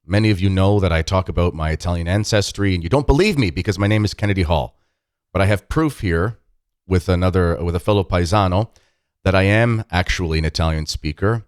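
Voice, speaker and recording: A man's voice: low-pitched, fairly quick, smooth, no room sound, studio quality. A man hosting an interview podcast, talking in a friendly, rambling way with many fillers. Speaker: podcast host.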